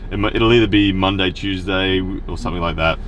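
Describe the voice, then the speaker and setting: A man's voice: low voice, fairly quick, a bit bright, highs cut off. A man in his thirties with an Australian accent chats casually for a vlog in a laid-back, matey, rambling way. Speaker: vlogger and show host. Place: inside a car. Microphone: in-car camera. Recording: clear car and road noise.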